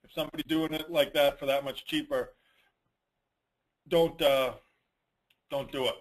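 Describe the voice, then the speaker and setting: A man's voice: fairly high pitch, fairly even intonation, measured pace, low-quality recording. Man giving blunt, colloquial advice. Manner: blunt, colloquial, emphatic repetition. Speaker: host of a dealer network conference call answering questions. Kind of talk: informal Q&A, advising.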